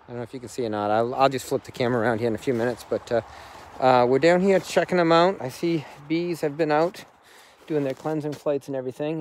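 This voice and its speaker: A man's voice: medium pitch, darkish tone. A man in his forties giving a casual, rambling, informal handheld vlog narration as a beekeeper, thinking aloud into a phone. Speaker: beekeeper vlogger. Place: outdoors in snowy yard, later inside a barn.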